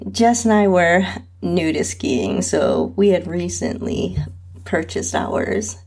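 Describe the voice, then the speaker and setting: A woman narrates a first-person story at an unhurried pace. Her voice is husky with some gravelly fry, and her pitch moves in lively swings. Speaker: host reading own story.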